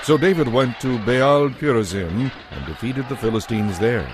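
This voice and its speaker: A man narrating scripture from a script in a measured, solemn manner. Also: mid-range voice, lively intonation, background noise. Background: soft music.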